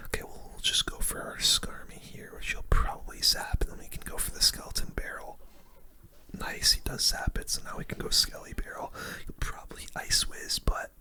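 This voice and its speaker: Whispering ASMR gamer, bright and breathy. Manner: informal gamer slang. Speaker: gaming video host. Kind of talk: whispered ASMR game commentary.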